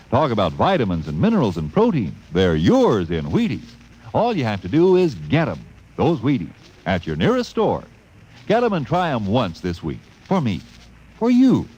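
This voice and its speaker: A man's voice: mid-range voice, a little mellow, husky, rough recording. A man delivering an old-time radio commercial as announcer, with folksy, exuberant salesmanship. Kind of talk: 1950s radio advertising pitch.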